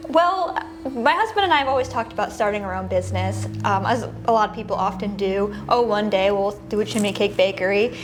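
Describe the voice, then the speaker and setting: A woman's voice: medium pitch, a little fast, few pauses, noisy background. A woman who co-owns a bakery, answering interview questions cheerfully with light laughs and fillers. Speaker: bakery co-owner, interview guest.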